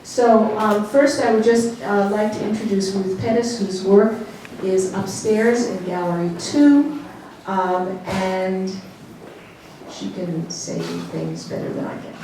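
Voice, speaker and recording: A woman curator giving halting opening remarks at a gallery exhibition, filling pauses with ums and uhs. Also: medium pitch, dark tone. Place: gallery room with an audience. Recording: echoey room from far off, noisy.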